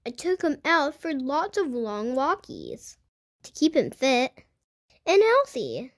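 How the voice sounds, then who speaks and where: A woman reading a picture book aloud to children in an animated storytelling style. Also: sits high, slow. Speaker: children's storybook reader and host. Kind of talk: animated read-aloud narration for kids.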